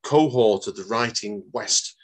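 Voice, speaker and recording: A man's voice: lowish voice, a little slow. A middle-aged man hosting an interview, rambling and leaning on stock phrases as he asks questions. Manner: rambling, leans on "obviously" and "like I said". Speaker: podcast host. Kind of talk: casual interview chat.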